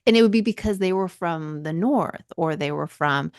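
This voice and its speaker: A woman's voice: on the low side, normal speed, no echo, clean studio sound. A woman co-hosting a podcast in casual chat, laughing often and trailing off into fillers and restarts. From Spanish family, mother from southern Spain. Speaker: podcast co-host.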